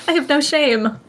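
A young woman co-hosting a casual podcast, hoarse from losing her voice, playful and giggly. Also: high voice, fairly bright tone, a little hiss or hum. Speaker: podcast co-host.

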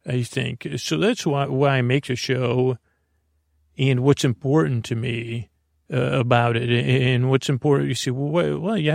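Creaky, soft-spoken man rambling gently. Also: no room sound, studio quality. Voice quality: creaky, low-key and drowsy, with many fillers and restarts. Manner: soft, meandering, self-interrupting. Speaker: podcast host. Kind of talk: rambling bedtime podcast talk.

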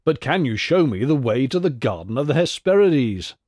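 A man's voice: mid-range voice, very animated, moderate pace, a little mellow. A man voicing a bold, fearless hero of myth in a storybook, with a confident, declamatory manner. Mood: polite, direct.

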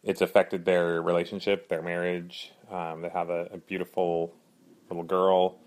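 A man hosting his own podcast in earnest, rambling casual talk, a little hoarse from a cold. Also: low-pitched, level tone, moderate pace, a little mellow. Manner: earnest, rambling, frequent fillers and restarts. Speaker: podcast host.

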